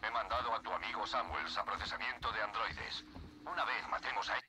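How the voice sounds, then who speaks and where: A man's voice: fairly low pitch, a little fast, pushed and bright, a little hiss or hum. An actor playing a male station officer who guides the player over the radio in a game dub, urgent and commanding. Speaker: radio contact guiding the player.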